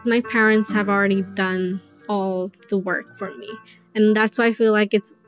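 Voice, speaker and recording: A woman's voice: medium pitch, fairly even intonation, moderate pace, soft background music. A woman speaking in a hopeful, casual way in a documentary interview clip. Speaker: interviewee in a video.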